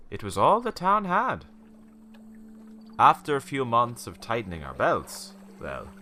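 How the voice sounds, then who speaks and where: An actor playing a haunted, bitter old small-town shopkeeper in a radio play, telling an ominous tale haltingly with theatrical rhetorical questions. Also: male voice, medium pitch, pushed and bright, exaggerated voice. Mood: bitter, resigned. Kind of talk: dramatic radio play monologue.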